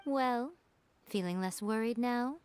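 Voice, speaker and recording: A woman's voice: a little high, slow pace, darkish tone, clean tone. A woman voicing a poised, sly, confident female schemer in a video game, composed and teasing. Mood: knowing, amused.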